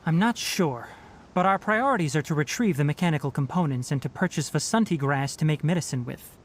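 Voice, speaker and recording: A man voicing a calm, stern young male forest ranger in a game, speaking in a measured, matter-of-fact, slightly dry way. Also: on the high side, noisy background, natural voice. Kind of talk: game dialogue voice acting. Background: soft game music.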